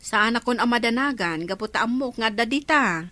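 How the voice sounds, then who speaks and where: A woman acts a concerned friend in a Filipino radio drama. Her voice is forward and bright, slightly high, with a Filipino accent. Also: animated, a little fast, no echo.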